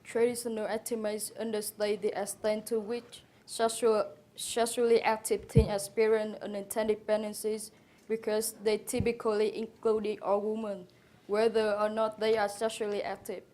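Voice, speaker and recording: A woman with a mellow, dark-toned voice of middle pitch reads figures from a script. Her intonation is very even, a plain recital by a student presenter. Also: moderate pace. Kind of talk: reading statistics from a script.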